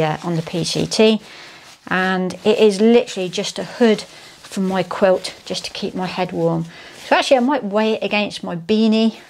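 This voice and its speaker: A woman's voice: on the low side, slight background noise. A woman, chatting casually to camera at home about hiking gear, with many fillers and restarts. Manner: chatty, self-deprecating, lots of fillers and restarts. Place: living room at home, indoors.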